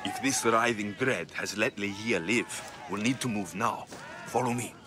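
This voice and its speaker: A man playing a steady, earnest and protective mercenary hero in a video game cutscene. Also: on the low side, normal speed, background noise, lightly acted. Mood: resolute.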